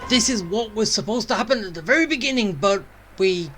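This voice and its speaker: Female gaming streamer, mid register, casual banter and commentary, music clearly underneath. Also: mid-range voice, expressive, fairly quick, fairly bright tone. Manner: informal, bantering. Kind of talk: casual reaction commentary over gameplay. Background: game music.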